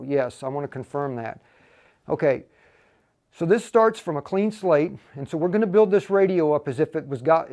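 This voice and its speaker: A man's voice: on the high side, darkish tone, clean tone. A man hosting an informal step-by-step tech tutorial, thinking aloud as he demonstrates. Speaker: livestream host giving a radio programming tutorial. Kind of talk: casual step-by-step software tutorial.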